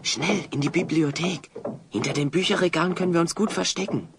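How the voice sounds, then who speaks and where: A man's voice: a little high, brisk, background noise, close to an everyday voice. An actor playing a determined, thoughtful heroic adventurer in an audio drama, explaining things earnestly to his companion. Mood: urgent.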